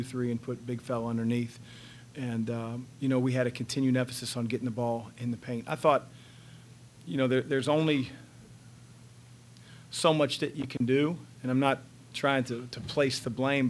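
A man in his fifties, a head coach answering questions at a post-game press conference, speaking in a measured, halting way with many fillers, into a table microphone in a press room. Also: medium pitch, slight background noise. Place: press room in front of a sponsor backdrop. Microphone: table microphone on a stand.

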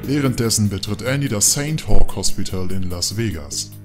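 A man's voice: on the low side, expressive, moderate pace, music in the background. A man narrating a scripted radio play as its storyteller.

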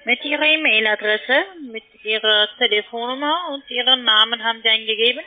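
A woman's voice: on the high side, brisk, soft background music. Insistent foreign woman on a tinny phone. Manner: insistent, formal. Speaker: call centre agent. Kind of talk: telephone cold call. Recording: tinny narrow-band phone line.

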